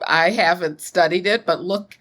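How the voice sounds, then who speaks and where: A woman in her sixties, a scholar being interviewed, speaking in a measured, thoughtful way. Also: lowish voice. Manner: measured, thoughtful, occasional soft laughs. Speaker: guest scholar. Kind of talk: interview conversation.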